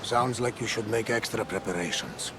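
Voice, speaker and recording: An actor playing a loyal, devout older ship captain with a gravelly voice in a video game. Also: male voice, lowish voice, normal speed, noisy background, lightly acted. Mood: concerned. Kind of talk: video game cutscene acting. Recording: background music under the voice.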